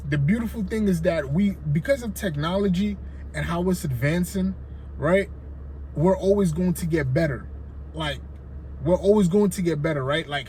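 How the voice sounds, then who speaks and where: A man's voice: high voice, darkish tone, slight background noise, poor audio. A man with a Haitian accent talking casually to his viewers, rambling and checking in with "right?" again and again. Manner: loose, rambling, frequent "right?" check-ins. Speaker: finance vlogger. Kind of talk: casual talk to camera.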